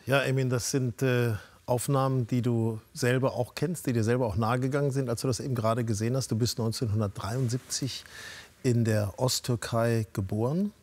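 A man's voice: medium pitch, steady tone, brisk, darkish tone. A man who hosts a talk show, interviewing his guest in a friendly way and leading into the next segments.

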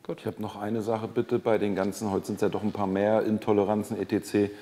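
A man briefing the service staff of a hotel restaurant before an evening event, in a brisk, practical way. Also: lowish voice, little pitch movement, mellow, big-room sound.

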